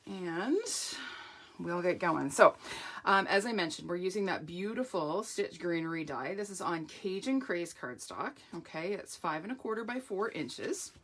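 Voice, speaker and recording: A middle-aged woman hosts a live craft demo with a somewhat low voice. Her voice is hoarse and croaky from strain, but she stays chatty and upbeat, greeting viewers as they arrive. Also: lively intonation, unhurried, fairly bright tone. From Canada. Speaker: craft demonstrator.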